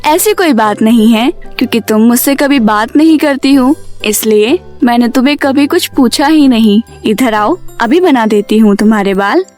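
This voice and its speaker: A put-upon young stepmother, played by a woman narrator, speaks in a high, gentle, plaintive voice. She sounds meek and innocent, a cartoon daughter-in-law with music playing behind. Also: normal speed, lightly acted. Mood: gentle, concerned.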